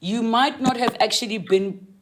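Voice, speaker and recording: A woman's voice: on the high side, moderate pace. Woman interviewer asking probing questions. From South Africa. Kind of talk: casual interview.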